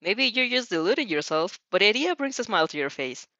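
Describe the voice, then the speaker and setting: A woman reading a story aloud in an expressive, amused way, sometimes stumbling and rereading phrases. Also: medium pitch, fast, no echo, lo-fi sound. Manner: giggly, stumbles over phrases and rereads them. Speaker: fan fiction reader reacting as she reads.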